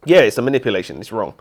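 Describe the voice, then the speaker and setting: British male co-host, lively pitch swings, blunt and argumentative, informal book chat. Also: a little hiss or hum. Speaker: book review podcast co-host. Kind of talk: casual book discussion and debate.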